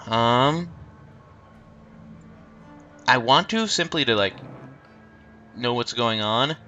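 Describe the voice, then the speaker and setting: A man's voice: mid-range voice, animated, slow pace, bright, forceful tone, dull audio. A man with a stuffed-up nose hosting a let's play, talking in a rambling, hesitant casual way. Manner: rambling, hesitant, many ums. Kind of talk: casual game commentary. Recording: game music behind.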